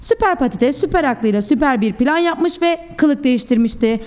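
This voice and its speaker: A woman's voice: high voice, animated, fairly quick, darkish tone. A woman preschool teacher telling a storybook tale expressively and theatrically to young children.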